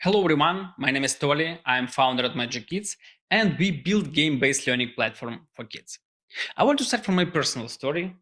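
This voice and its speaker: A man with a Russian accent, Russian his first language, giving an earnest startup pitch as founder. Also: lively intonation, fairly quick, bright, forceful tone. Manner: earnest, non-native phrasing.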